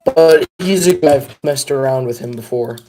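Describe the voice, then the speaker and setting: A young man talking casually on a live stream, wandering from one thought to the next. His voice is dark and mellow. He pokes fun at himself as he chats with his viewers. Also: medium pitch, normal speed, a little hiss or hum, low-quality recording. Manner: rambling, self-deprecating, chats to viewers.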